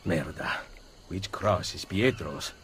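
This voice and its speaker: A man's voice: low voice, lively intonation, slow, breathy edge, heavily acted. A man playing a resolute Renaissance assassin in a video game, grave, terse and controlled. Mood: grim resolve. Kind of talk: dramatic video game voice acting.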